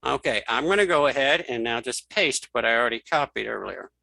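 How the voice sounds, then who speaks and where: A man giving a casual software tutorial, thinking aloud as he demonstrates each step. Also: mid-range voice, expressive, brisk, vocal fry, no echo. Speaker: instructor.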